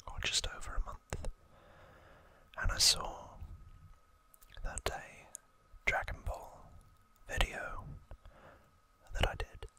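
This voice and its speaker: Male ASMR host, thirties: breathy whisper, very unhurried, gentle, with pauses between short phrases. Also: no room sound. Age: 30s. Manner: slow, hushed, gentle delivery with pauses. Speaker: host of an ASMR video channel. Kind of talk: ASMR trivia talk, whispered.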